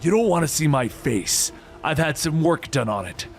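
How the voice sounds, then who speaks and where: A man's voice: on the high side, very animated, moderate pace, soft background music. A man voicing a sardonic, menacing young masked antihero in a comic book story, with a dry, taunting manner. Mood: sardonic menace.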